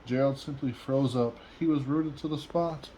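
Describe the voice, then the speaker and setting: A man with a medium-pitched voice reads a children's book aloud. He is measured and expressive, like a dad telling a story at home. There is faint noise in the background. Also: rough recording. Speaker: father reading to child. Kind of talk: storybook read-aloud in rhyme.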